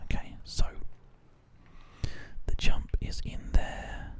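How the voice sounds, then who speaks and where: Male ASMR gaming host, whispered delivery, gentle rambling explanations. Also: slow pace. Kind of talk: whispered ASMR game commentary.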